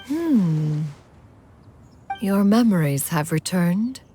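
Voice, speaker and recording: A woman's voice: lowish voice, lively intonation, extremely slow, warm tone, faint music behind. A woman voicing an aloof, wise immortal crane in a video game, speaking in a stately, archaic, formal manner. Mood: solemn, sympathetic. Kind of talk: dramatic video game voice acting.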